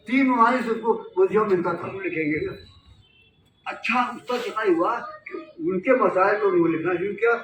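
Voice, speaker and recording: A man's voice: high voice, fairly bright tone, far from the mic, slight background noise. A man, a newspaper editor, giving an impassioned seminar speech and asking the audience rhetorical questions. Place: seminar hall. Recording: echoey hall recorded from afar.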